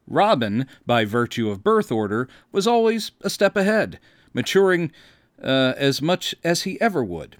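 American male podcast host, fifties, wry storyteller with very lively intonation and a dry, close studio sound. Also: medium pitch, a little slow, no echo. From Mississippi, United States. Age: 50s. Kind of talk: scripted autobiographical narration.

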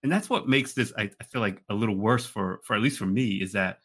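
A man with a fairly low but bright, forward voice co-hosts a casual podcast. He talks emphatically, circling back to repeat phrases and checking in as he goes. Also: brisk, breathy edge. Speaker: podcast co-host.

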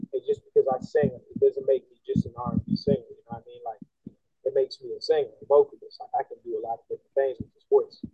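A man's voice: mid-range voice, gravelly, breathy edge, no echo. Laid-back island man on a tinny line. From Bahamas. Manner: laid-back, slangy, animated when making a point. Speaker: guest singer and songwriter. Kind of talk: casual interview chat. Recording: tinny phone-like line, dry.

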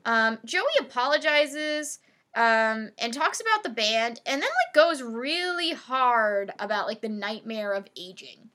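Bright-voiced chatty woman podcaster. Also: fairly high pitch, animated, unhurried. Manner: rambling, filler-heavy, lots of "like". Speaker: podcast co-host. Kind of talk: casual podcast chat.

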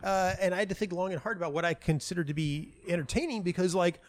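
A middle-aged man talking casually and reflectively as an interview guest, halting and restarting often, with a self-deprecating manner. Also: high-pitched. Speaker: playwright and audio dramatist being interviewed.

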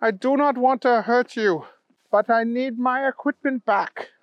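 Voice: medium pitch, dry recording, dull audio, voice pushed up, close to an everyday voice. A storyteller voicing a heroic male Easter Bunny karate master, firm and earnest, in a children's story. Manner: earnest, firm, slow deliberate warnings, martial cries.

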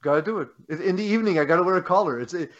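A man co-hosts a casual sports podcast, talking loosely with many restarts. His voice sits a little high. The recording is muffled and lo-fi. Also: very fast. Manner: rambling, frequent restarts and fillers.

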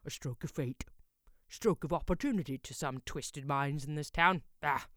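A woman voicing a gruff, brusque older male blacksmith who barks his lines in a rustic, old-fashioned dialect, recorded dry. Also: low-pitched, normal speed, fairly bright tone, no echo. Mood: wry.

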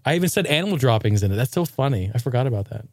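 A man hosting a casual chat, excitable and quick to laugh, often calling people dude. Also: mid-range voice, no room sound, studio quality.

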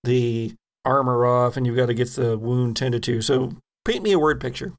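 A man acts as game master for a tabletop game. His mid-pitched voice moves between vivid scene description and practical questions to his players. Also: normal speed. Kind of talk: tabletop game narration and rules talk.

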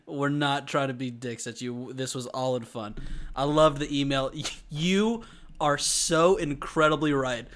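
A young man co-hosting a podcast in casual banter, often breaking into high-pitched giggles. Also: steady tone, a little slow, fairly bright tone, slight background noise. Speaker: podcast co-host.

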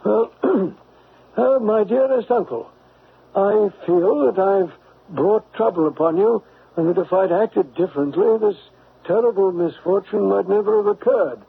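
An actor playing a bumbling, good-hearted detective's companion, hesitant, muttering and puzzled, in an old-time radio drama. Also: male voice, high-pitched, a little slow, vocal fry. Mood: concerned. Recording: tinny, noisy old radio broadcast.

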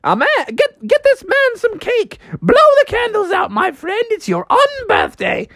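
A woman's voice: very high voice, wildly swooping pitch, unhurried, no echo, clean studio sound, voice pushed up, exaggerated voice. A woman voicing a merry, eccentric man who presides over a storybook party in a theatrical, exclaiming way. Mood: merry, consoling. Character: merry, eccentric male tea-party host, commanding and playful.

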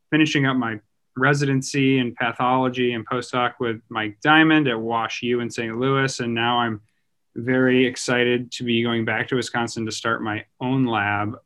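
Male, 30s, American. Researcher guest, conversational, very clean and bright tone. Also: mid-range voice, steady tone, measured pace. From United States. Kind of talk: casual conversational interview answer.

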